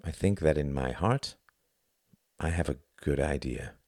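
A man's voice: extremely low pitch, unhurried, gravelly, a little mellow, no echo, studio quality, heavily acted. A man voicing an earnest, troubled young man in an audiobook, morally firm and easily exasperated as he argues. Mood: subdued, troubled.

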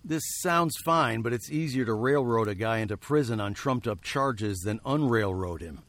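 A man playing a weary, wry nineteenth-century fugitive, telling his tale in a deliberate, literary way for a radio drama. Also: medium pitch, expressive, a bit bright. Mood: wry, resigned. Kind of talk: radio drama narration and acting.

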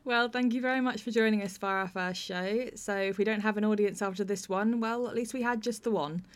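A woman's voice: mid-range voice, fairly even intonation, brisk. Wry British woman hosting a podcast. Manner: wry, self-deprecating. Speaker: podcast host. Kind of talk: scripted introduction and friendly interview.

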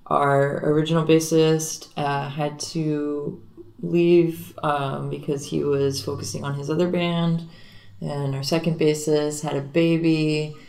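A woman's voice: low-pitched, flat delivery, slow pace, gravelly, darkish tone. A young woman from Miami, a guitarist and singer, chatting casually in an interview with many fillers. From Miami, Florida.

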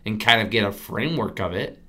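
A man's voice: fairly low pitch, a little fast, sharp tone. Rambling young man on a podcast. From United States. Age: 20s. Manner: rambling, many restarts and fillers. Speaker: podcast co-host. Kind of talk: casual chat.

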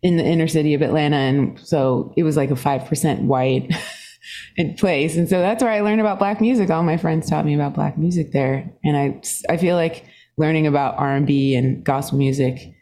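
A woman born in Singapore, a guest musician telling stories in a podcast interview, animated and laughing often, her pitch jumping up as she talks. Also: moderate pace.

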